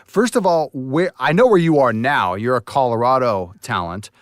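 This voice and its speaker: A man's voice: mid-range voice, animated, moderate pace, no room sound. A man in his forties hosting a casual interview from his home studio booth, asking questions in an easygoing way. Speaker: podcast host.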